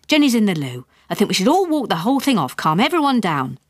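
A woman voicing an anxious, brisk, long-suffering middle-class mother in an audiobook story. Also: mid-range voice, sing-song, no room sound, pitched above the performer's own voice.

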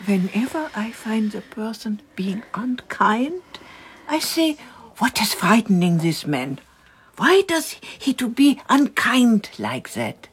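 Kindly devout lady, gentle and slow, voice a bit high, radio play. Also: big pitch swings, breathy edge, exaggerated voice. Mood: gentle, reflective. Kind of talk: radio drama acting.

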